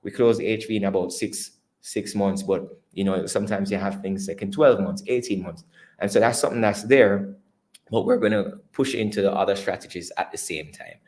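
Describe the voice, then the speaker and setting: A man's voice: lowish voice, moderate pace, a little mellow. A man with a Jamaican accent speaking as a company executive on an earnings call, conversational, often repeating and rephrasing his words. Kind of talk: earnings call presentation and answers.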